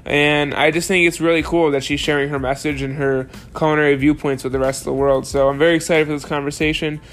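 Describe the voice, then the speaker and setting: A man's voice: fairly high pitch, little pitch movement, quick pace, fairly bright tone. A young man hosting a podcast, talking enthusiastically with plenty of fillers as he introduces and interviews a guest. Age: young adult. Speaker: podcast host.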